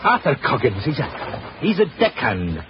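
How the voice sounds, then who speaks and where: An actor playing a deferential, chatty police sergeant who hesitates and repeats himself, in 1940s radio drama style. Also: male voice, medium pitch, huge pitch swings, normal speed. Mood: earnest, gossipy. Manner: hesitant, folksy, stammering repeats. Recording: muffled, noisy 1940s radio recording.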